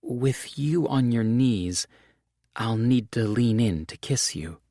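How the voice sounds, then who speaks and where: A man's voice: expressive, slow, dry recording, clean studio sound. A man voicing an anxious young woman. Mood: uneasy. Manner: higher, brighter voice for a woman's lines. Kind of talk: audiobook character dialogue.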